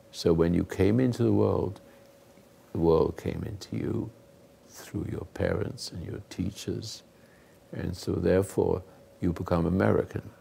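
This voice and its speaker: An older man hosting a talk, giving rambling, earnest moral teaching to a live group, often dropping into hushed asides. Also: deep voice, a little hiss or hum. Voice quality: gravelly, husky. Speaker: host and spiritual counselor.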